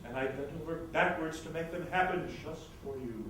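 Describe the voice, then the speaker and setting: A man's voice: on the high side, brisk, fairly bright tone, close to an everyday voice. Man acting a grandiose producer, very echoey hall. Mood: melodramatic, cajoling. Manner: grandiose, theatrical. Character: pompous, scheming, melodramatic male theatre producer. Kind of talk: staged readers' theatre screwball comedy. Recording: very echoey hall, far microphone, noise.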